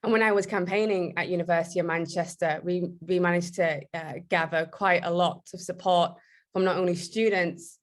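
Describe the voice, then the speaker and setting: A young woman from giving an earnest, steady talk as an activist speaker on a video call from home. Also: lowish voice, moderate pace. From Britain. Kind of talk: prepared talk at an online meeting. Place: home room, indoors.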